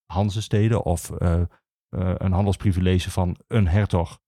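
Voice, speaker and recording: Guy explaining history on a podcast, soft dark tone, dry close sound, lots of halting restarts. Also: sits low, fairly even intonation, no echo. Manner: hesitant, halting, with many pauses and repetitions. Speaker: archivist, podcast guest. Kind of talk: informal expert explanation of history.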